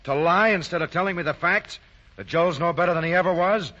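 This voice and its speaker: A man's voice: fairly high pitch, fairly quick. An actor playing a responsible, earnest young man in a 1940s radio drama. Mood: angry. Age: young adult. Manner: earnest, forceful. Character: upright, indignant, responsible grown son and fiancé. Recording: muffled old 1940s radio recording.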